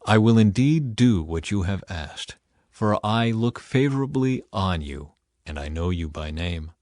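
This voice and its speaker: A man's voice: lowish voice, animated, unhurried, darkish tone, no room sound, clean studio sound. A man voicing a grave, stern God with solemn authority in a scripture reading. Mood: solemn assurance. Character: stern God speaking to Moses, commanding and grave.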